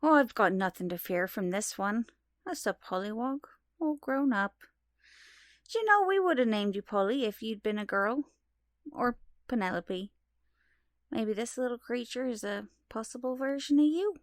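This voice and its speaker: A woman plays a tender long-lost mother in an audio drama. Her fairly high voice is close and dry, gently maternal and knowing, with quiet reproach. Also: expressive, normal speed, dry recording, close to an everyday voice. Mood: affectionate.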